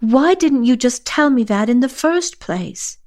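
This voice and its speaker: A woman's voice: sits high, animated, measured pace, darkish tone. A woman voicing a skeptical, hesitant character with a questioning manner in an audiobook story. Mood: doubtful. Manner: doubtful, questioning. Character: skeptical, hesitant listener drawn into a friend's game. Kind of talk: acted audiobook character dialogue.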